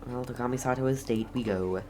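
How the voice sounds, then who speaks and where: A woman's voice: very deep voice, fast talker, warm tone. A woman giving casual gameplay commentary with wry, playful asides as she reacts to the game. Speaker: let's player reacting to a game.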